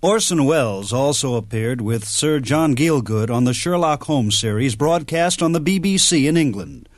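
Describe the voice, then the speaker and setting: Mid-pitched man presenting a radio show. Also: expressive, normal speed, a little hiss or hum. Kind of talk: scripted presenting of old radio clips.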